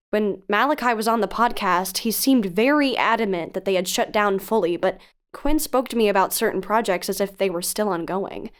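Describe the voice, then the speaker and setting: A woman's voice: medium pitch, close to an everyday voice. Earnest, analytical woman investigator played by an actress. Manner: articulate, reasoning, earnest. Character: cautious, analytical female researcher and investigative podcaster. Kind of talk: audio drama acting, dialogue and narration.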